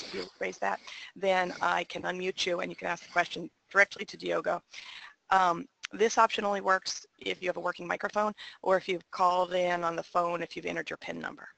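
A woman's voice: medium pitch, creaky, bright, forceful tone, a little hiss or hum, rough recording. A woman hosting a webinar, giving an organized introduction with practical instructions as coordinator. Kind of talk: semi-scripted webinar introduction.

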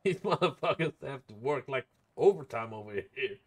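A man's voice: medium pitch, lively intonation, normal speed. A man streaming a roleplay game as a helicopter paramedic, chatting casually in blunt, sweary radio talk with codes.

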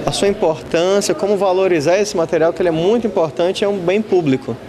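A man in his forties being interviewed by a reporter on a handheld mic, explaining in a measured way in an auditorium. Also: high-pitched, a crowd in the background. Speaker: lecturer interviewed. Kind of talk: news interview. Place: school auditorium with an audience.

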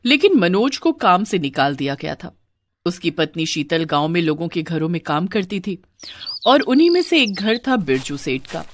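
Woman narrator with lively pitch. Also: moderate pace, no room sound. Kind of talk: scripted story narration.

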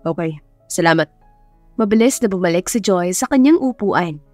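A woman's voice: mid-range voice, animated, normal speed, dark tone, dry recording. A woman reading scripted romance narration as an audiobook storyteller. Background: music.

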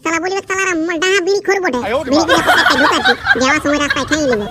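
A brash young village guy from a rustic comedy cartoon, extremely high-voiced and rattling along fast in Purulia Bengali. He boasts, curses and squabbles crudely. The soundtrack is noisy. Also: lively intonation. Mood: indignant, mocking. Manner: bickering, cursing, boastful. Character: brash, crude young village bachelor, cocky and quick-tempered.

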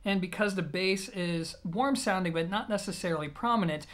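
A man's voice: high-pitched, normal speed, a bit bright. A man, hosting a review in a casual, methodical, conversational way. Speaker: audio gear reviewer, host. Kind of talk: casual, explanatory product review to camera. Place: small plain-walled room indoors.

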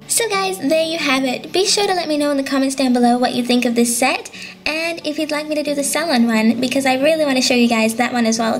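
A young woman hosting a toy channel, chatting cheerfully through a casual unboxing. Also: high-pitched. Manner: bubbly, chatty, cutesy.

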